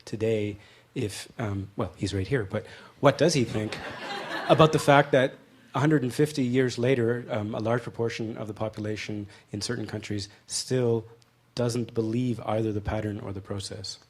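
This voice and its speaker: A man's voice: fairly low pitch, fairly even intonation, moderate pace, a little hiss or hum. A male moderator running a lecture Q&A in a casual way with lots of fillers. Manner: casual, filler-heavy, friendly.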